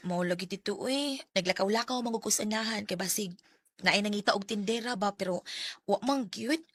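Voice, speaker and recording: A woman's voice: medium pitch, moderate pace, fairly bright tone, no echo, natural voice. An actress playing an earnest, humble young working woman in a radio drama, polite and plaintive, with a Visayan Filipino accent. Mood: dejected. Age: young adult.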